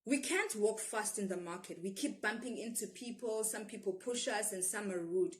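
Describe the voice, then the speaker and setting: South African woman reading a children's story. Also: mid-range voice.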